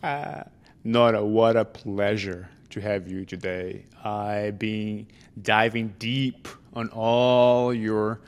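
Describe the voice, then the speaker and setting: A man's voice: fairly low pitch, steady tone, slow pace. A man hosting a podcast, asking interview questions in an enthusiastic, conversational way. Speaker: podcast host.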